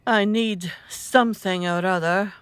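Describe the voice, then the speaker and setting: A woman's voice: mid-range voice, steady tone, unhurried, smooth, dry recording, close to an everyday voice. A woman playing an imperious, bitter wife and mother in a radio drama, with a haughty, scornful delivery. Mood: indignant, haughty.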